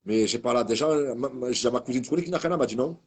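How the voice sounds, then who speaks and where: Husky man ranting. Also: mid-range voice, very fast. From Marseille, France. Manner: rambling, heated, repeats and restarts words. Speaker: online commentator. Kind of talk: ranting video message.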